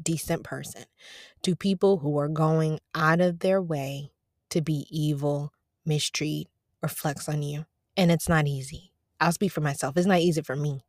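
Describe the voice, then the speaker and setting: A woman hosting a devotional podcast, preaching casually from her parked car, talking to listeners as y'all, her voice going a little raspy. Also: sits low, no room sound, clean studio sound. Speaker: podcast host.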